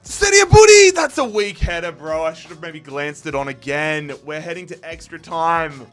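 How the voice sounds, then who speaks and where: A young man, commentating his football game live, shouting at chances, his voice going hoarse. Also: fairly high pitch, animated, moderate pace, faint music behind. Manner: shouts and groans at every chance. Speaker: gaming YouTuber.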